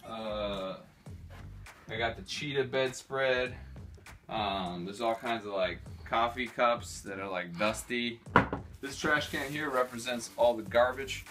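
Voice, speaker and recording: A man's voice: mid-range voice, slow pace, music in the background. A man in his thirties chatting casually and sardonically as he shows people round a house, with mock-showy slang and swearing, recorded on a handheld camera indoors. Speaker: vlogger giving a house tour. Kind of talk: casual, joking house-tour chat. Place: small rooms of a rundown house.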